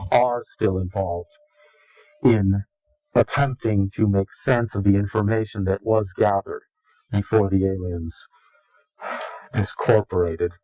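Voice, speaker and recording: A man giving interview testimony in a grave, deliberate, halting and portentous way. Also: lowish voice, darkish tone, no room sound, rough recording. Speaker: interviewee, claimed insider. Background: music.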